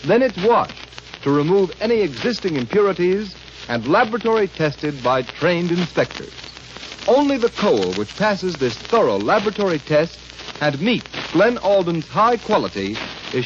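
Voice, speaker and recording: A man delivering an emphatic, scripted sales pitch as a 1930s radio commercial announcer. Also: sing-song, normal speed. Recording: muffled, noisy 1930s radio recording.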